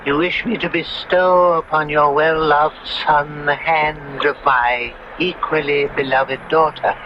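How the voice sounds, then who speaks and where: An actor playing a wealthy, courtly marquis with a deliberate, formal, knowing manner in 1970s radio drama style. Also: male voice, fairly high pitch, steady tone, natural voice. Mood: solemn, knowing. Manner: deliberate, smooth-worded, formal. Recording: muffled, noisy old radio broadcast.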